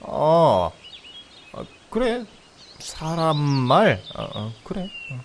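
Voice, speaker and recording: 남자가 연기하는 투박하고 욕심 많은 나무꾼. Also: male voice, on the high side, big pitch swings, drawn-out words, a little hiss or hum, pitched above the performer's own voice, heavily acted. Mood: sheepish, muttering. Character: gruff, greedy, scheming male woodcutter. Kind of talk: comedic audio fairy tale acting. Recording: outdoor nature ambience, birdsong behind.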